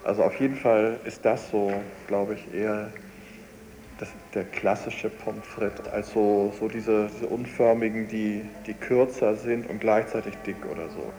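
A man's voice: fairly low pitch, steady tone, measured pace, mellow. A man speaking casually and hesitantly, searching for his words as he muses in an interview. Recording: faintly noisy cassette radio recording.

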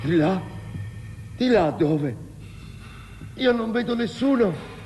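Querulous, agitated male guardian, high voice leaping about, experimental radio theatre. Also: animated, takes it slowly, a little mellow, soft background music. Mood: anxious, annoyed. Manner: agitated, questioning. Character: anxious, querulous male guardian of artificial garden.

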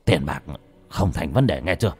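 A man voicing a stern, hot-tempered old father who shouts and threatens. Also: low-pitched, animated, moderate pace, husky, heavily acted. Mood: curt.

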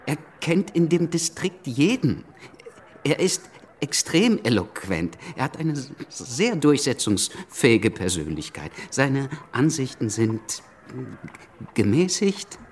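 An actor playing an excitable, evasive young revolutionary with a stammer, in naturalistic German radio play dialogue. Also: male voice, mid-range voice, slow, slight background noise. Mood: eager. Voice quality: stammer on word beginnings.

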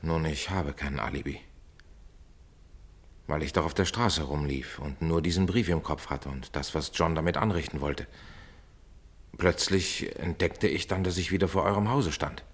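An actor playing a composed, courteous middle-aged male doctor in a German radio play, measured and polite in delivery. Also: a bass voice, slightly rough. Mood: guarded.

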